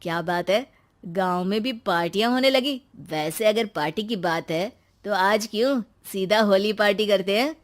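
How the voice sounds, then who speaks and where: A woman's voice: medium pitch, normal speed, natural voice. A woman voicing a curious, bold young man from the city in a cartoon story.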